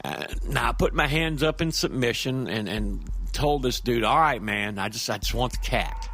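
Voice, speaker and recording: An actor playing a nervy, rambling street-wise man who tells a horror story in a halting, stammering, conversational way, with music behind him. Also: medium pitch, animated, a bit bright, no room sound. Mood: rueful, earnest. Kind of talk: audio drama first-person horror monologue.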